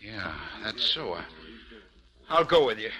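A man's voice: fairly low pitch, big pitch swings, measured pace, pushed and bright, heavily acted. An actor playing an eager, questioning male valley settler in a vintage radio drama. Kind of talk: 1940s radio acting.

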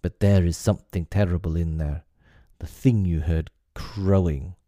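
A man's voice: low voice, steady tone, measured pace, warm tone, no echo, studio quality. A man voicing a frightened pirate crewman in a storybook, hollow and pleading. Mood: haunted dread. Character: frightened male pirate crewman, brave turned cowering.

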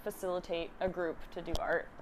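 A woman's voice: fairly low pitch, little pitch movement, fairly quick, a little hiss or hum. A woman of thirty with a Canadian accent, chatting informally and giggly as an interview guest. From Ontario, Canada. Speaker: guest, artist and art teacher. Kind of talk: casual interview chat.

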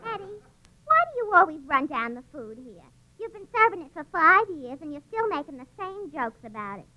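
A woman's voice: very high-pitched, big pitch swings, a little fast, pushed and bright, heavily acted, poor audio. A woman playing an earnest, bossy and naive tavern owner's daughter in 1940s radio comedy, matter-of-fact and scolding. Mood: scolding, nosy.

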